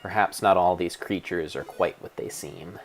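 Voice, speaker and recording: Male narrator, low register, theatrical and grave, reading gameplay as an epic tale. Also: faint music behind. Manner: theatrical, solemn, mock-epic delivery with drawn-out words. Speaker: streamer narrating own gameplay. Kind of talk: dramatic storybook narration of a video game.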